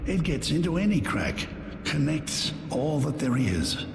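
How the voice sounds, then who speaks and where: An actor playing a disdainful, philosophical herald of plague in a theatrical game pantomime, declaiming with scorn. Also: male voice, fairly high pitch, sing-song, a little slow, creaky, a little hiss or hum, full character voice. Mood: scornful, ominous. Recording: echoey hall, long reverb, music behind. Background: music.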